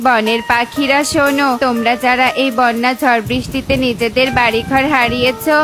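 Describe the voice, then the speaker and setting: A woman's voice: fairly high pitch, level tone, normal speed, lightly acted. A woman voicing a kind, earnest young brother bird in a children's cartoon. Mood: urgent.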